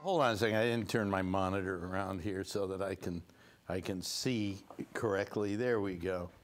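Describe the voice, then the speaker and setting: A man's voice: deep voice, steady tone, normal speed, faint music behind. Older male talk host, wry, loose, rambling studio chat. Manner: rambling, wry, full of fillers. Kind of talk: casual talk show banter. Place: studio set.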